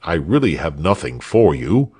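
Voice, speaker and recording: A man's voice: extremely low pitch, big pitch swings, moderate pace, warm tone, husky, voice pushed down, exaggerated voice. A man voicing a proud, fussily polite storybook father who is pompous and easily offended. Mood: haughty, irritated.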